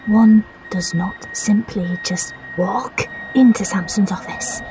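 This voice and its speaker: A woman's voice: mid-range voice, slow, noisy background, natural voice. A woman playing a wary, blunt, defiant rebel in a science fiction audio drama. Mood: alarmed, incredulous.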